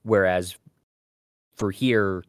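A man's voice: sits low, steady tone, extremely slow, clear, resonant tone, no room sound, studio quality. A man co-hosting a casual podcast discussion, talking in an opinionated, argumentative way. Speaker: co-host.

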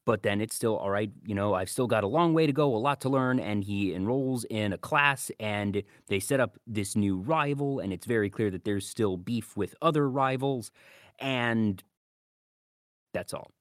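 A man's voice: fairly low pitch, normal speed, no room sound, studio quality. A man hosting a podcast, talking casually and giving his commentary on anime. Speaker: podcast host.

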